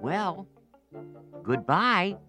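A woman's voice: fairly low pitch, sing-song, extremely slow, over music, pitched above the performer's own voice, full character voice. A woman voicing an eager young boy in a children's storybook reading.

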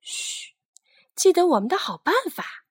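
A woman voicing a tiny, earnest, patient ant in a children's storybook, with a careful, hushing manner. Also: high voice, very animated, unhurried, dry recording, clean studio sound, voice pushed up.

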